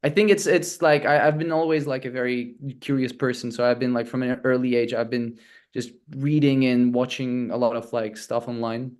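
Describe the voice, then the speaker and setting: A man's voice: mid-range voice, steady tone, moderate pace, a little mellow. A man from Turkey, a native Turkish speaker, talking casually as an interview guest.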